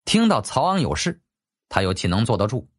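A man's voice: mid-range voice, sing-song, brisk, slightly rough. A man narrating a scripted story as a dramatic audiobook storyteller.

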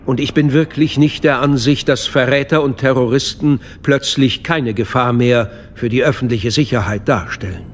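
An actor playing a suave, scheming older male chancellor in a German radio drama, speaking in a measured, courtly, unctuous way. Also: mid-range voice, slight background noise, lightly acted. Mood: courtly, calculating.

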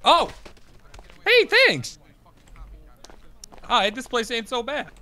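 A high, wildly swinging male voice, bright and brash. He jokes crudely as a gamer in roleplay. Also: wildly swooping pitch, extremely slow. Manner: crude, joking.

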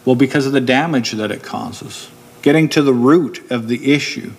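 A middle-aged man delivers a sermon at a church pulpit, with his voice taken through a pulpit microphone. He explains his points steadily and slows down deliberately when he wants one to land. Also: mid-range voice, gravelly, breathy edge. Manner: steady, explanatory preaching, slowing down to stress key points. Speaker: preacher. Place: church hall with a wooden pulpit.

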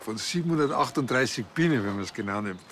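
A man's voice: medium pitch, lively intonation, quick pace, a bit bright, slight background noise, close to an everyday voice. An actor playing an earnest, naive policeman in a TV crime comedy, keen and matter-of-fact.

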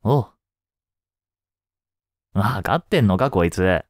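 A man playing a caring, easygoing high school teacher in a voice drama, speaking conversationally with gentle concern. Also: lively intonation, slow, no room sound, studio quality. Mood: gentle, encouraging. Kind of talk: scripted Japanese voice drama acting.

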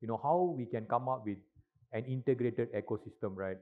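A man's voice: medium pitch, a little fast. A middle-aged man giving a conference talk on stage into a handheld mic, with lots of "uh" and "right?" tags. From Malaysia. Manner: filler-heavy, frequent tag questions. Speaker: industry speaker at a conference. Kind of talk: presentation talk. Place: large conference hall stage. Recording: tinny, lo-fi hall recording.

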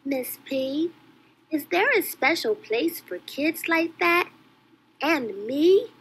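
A woman's voice: high-pitched, lively intonation, takes it slowly, fairly bright tone, exaggerated voice. A woman voicing a curious, eager little girl puppet in a children's bedtime show. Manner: childlike, inquisitive.

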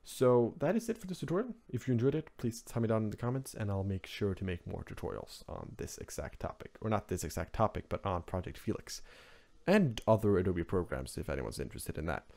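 Low-voiced Norwegian man, casual tutorial host. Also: big pitch swings, a little fast, vocal fry, darkish tone. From Norway. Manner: rambling, offhand, informal asides. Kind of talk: casual screen-recorded software tutorial. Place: indoors at a computer, screen recording voice-over.

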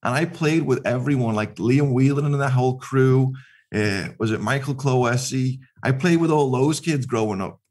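A man in his forties with a blended Liverpool and American accent, speaking over a video call with a desk mic. He talks in an animated, chatty way, waving his hands as he tells stories. His pitch sits in the middle range. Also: moderate pace. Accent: mixed Liverpool and American. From Liverpool, England. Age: 40s. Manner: animated, gesturing with both hands. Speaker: podcast guest. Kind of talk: casual chat. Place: home kitchen, indoors. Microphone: video call with studio mic in frame.

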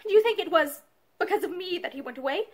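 A woman's voice: extremely high pitch, animated, fast, voice pushed up. A woman voicing a proud, wounded young wife who speaks emotionally and with defiance, in a storybook reading. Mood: anguished.

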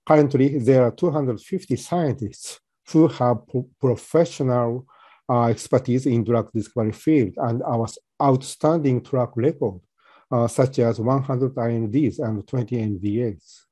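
Halting soft-spoken man presenting. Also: medium pitch, breathy edge, dry recording. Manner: halting, many fillers and restarts. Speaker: company presenter. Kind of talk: webinar presentation.

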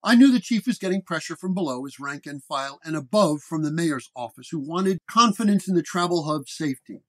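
Higher-pitched man narrating detective fiction. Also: animated, fairly quick, few pauses. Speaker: narrator and reader. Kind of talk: first-person scripted detective story narration.

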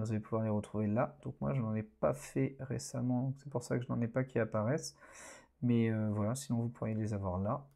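Man, course instructor, mellow voice, relaxed and halting screen walkthrough. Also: mid-range voice, vocal fry. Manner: relaxed, hesitant, many fillers. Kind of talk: screen-recorded tutorial narration.

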